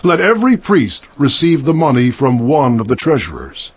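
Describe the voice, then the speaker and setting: A man's voice: medium pitch, huge pitch swings, moderate pace, husky, highs cut off. An actor playing a stern king of Judah giving orders in a declamatory way in a dramatized scripture recording. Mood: commanding. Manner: declamatory, imperative.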